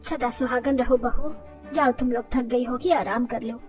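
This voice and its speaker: A woman's voice: fairly high pitch, fairly even intonation, normal speed, far from the mic, soft background music, natural voice. A woman playing a poor, anxious but kindly village mother-in-law in moral-story cartoon dialogue. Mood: tender blessing.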